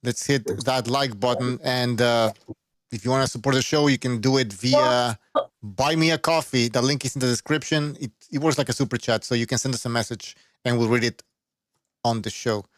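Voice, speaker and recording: A man's voice: medium pitch, moderate pace, no echo. A man hosting a call-in boxing podcast, blunt and profane, urging listeners to call in. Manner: blunt, profane, reads donations aloud. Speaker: podcast host. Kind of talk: casual show hosting.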